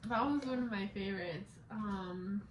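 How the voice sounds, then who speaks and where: A woman's voice: medium pitch, measured pace. Giggly young woman in an echoey room. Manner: code-switches between English and Spanish; blunt, swears freely. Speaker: vlogger chatting with her best friend. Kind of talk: casual chat. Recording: echoey room with background noise.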